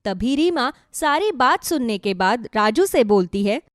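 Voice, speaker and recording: Lively, high-pitched woman storyteller. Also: unhurried, no room sound, clean studio sound. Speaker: narrator. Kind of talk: scripted children's story narration.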